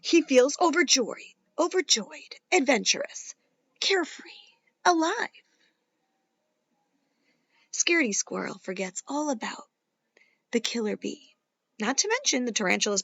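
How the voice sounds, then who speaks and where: A woman with a high, unhurried voice reads a picture book to young children. She is playful and dramatic, leaning into key words and pausing for effect. The sound is slightly dull and lo-fi. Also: fairly bright tone, dry recording. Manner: dramatic, playful, with emphatic pauses and whispers. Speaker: teacher reading a children's picture book aloud. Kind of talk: expressive children's storybook read-aloud.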